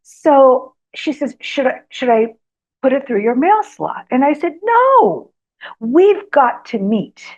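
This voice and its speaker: A woman whose first language is Greek, a guest telling personal stories in an interview. She talks hesitantly with many ums, and grows animated during her anecdotes. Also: sits high, takes it slowly. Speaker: guest author. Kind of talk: storytelling in an interview. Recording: slightly dull lo-fi sound.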